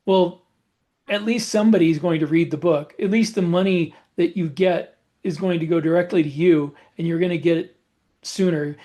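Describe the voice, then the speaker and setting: A man's voice: a little high, fairly even intonation, normal speed, warm tone. A man chatting casually as a podcast host, giving advice in a matter-of-fact way. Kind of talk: casual advice chat about book publishing.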